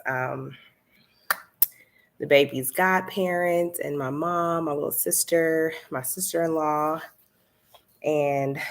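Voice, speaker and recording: A woman hosting a casual live stream, chatting with lots of fillers and laughs, with a slightly stuffy nose. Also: sits low. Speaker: candle business coach hosting a live stream.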